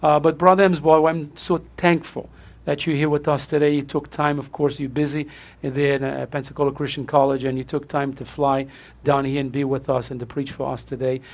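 A pastor with a Portuguese accent greets his congregation in a raspy, gravelly voice that sits a little high for a man. He is effusive and repeats himself, laughing often as he thanks people. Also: little pitch movement, a little fast. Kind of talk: church service welcome.

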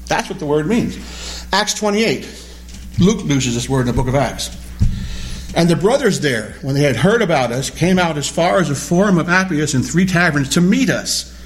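A man teaching a Bible study class, animated and questioning, repeating key words as he explains them. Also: a little high, low-quality recording. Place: classroom or church hall with a small group.

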